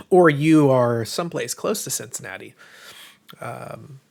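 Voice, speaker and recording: An American man with a tired, slightly hoarse voice chats casually and enthusiastically as the host of a livestream, rambling informally to viewers. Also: mid-range voice, expressive, takes it slowly, a little mellow. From United States. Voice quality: tired, strained voice. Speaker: livestream host, game publisher.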